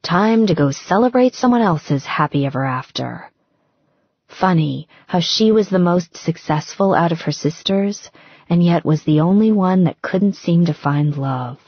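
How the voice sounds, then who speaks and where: Low, slightly husky woman narrating fiction. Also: expressive, measured pace, dry recording, lo-fi sound. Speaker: audiobook narrator. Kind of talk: scripted fiction narration, romance novel reading.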